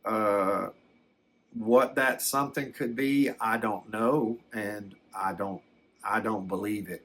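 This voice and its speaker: An older man telling a personal story in a casual, unscripted way, halting and repeating words as he reflects. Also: on the low side, fairly even intonation, fairly bright tone. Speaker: storyteller recounting a personal experience.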